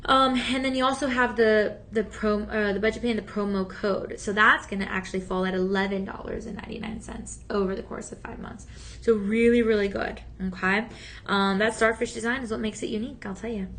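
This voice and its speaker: A woman hosting a shopping channel, chatty and encouraging, with a slightly raspy voice that is still healing from talking so much. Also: medium pitch, very animated, brisk, a bit bright. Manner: chatty, upbeat and encouraging, many fillers. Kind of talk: live TV sales pitch. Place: TV studio.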